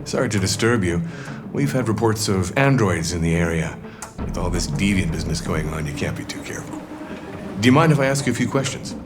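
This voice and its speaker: A courteous police officer in a video game. He speaks in a measured way and keeps probing politely. Music plays clearly behind him. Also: low voice, lively intonation. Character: polite but probing policeman making door-to-door inquiries. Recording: game audio with music underneath.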